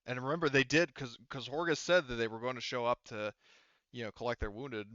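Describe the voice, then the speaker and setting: A man acting as game master in a casual tabletop roleplaying session, halting and thinking aloud as he checks the rules. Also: medium pitch, steady tone, bright, forceful tone, clear, resonant tone, no echo, lo-fi sound.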